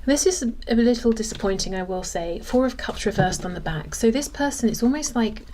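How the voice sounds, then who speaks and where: A woman's voice: on the high side, lively intonation, fairly quick, dark tone, a little hiss or hum, low-quality recording. A woman giving a casual tarot reading, thinking aloud in a conversational way. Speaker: tarot reader.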